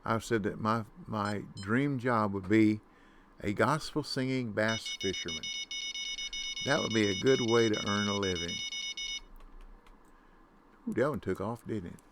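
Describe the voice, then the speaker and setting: A man with a deep voice giving casual commentary on his own gameplay, muttering to himself and humming nonsense tunes. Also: unhurried, pauses often, background noise. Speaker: gaming YouTuber.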